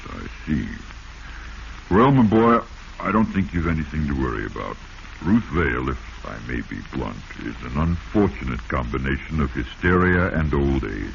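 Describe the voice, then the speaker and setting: An avuncular older physician, speaks in an extremely deep, swooping voice, measured and blunt yet reassuring. The forties radio drama sounds muffled. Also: male voice, very animated, vocal fry, exaggerated voice. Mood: paternal, reassuring. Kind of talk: 1940s radio drama acting. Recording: noisy, muffled 1940s radio recording.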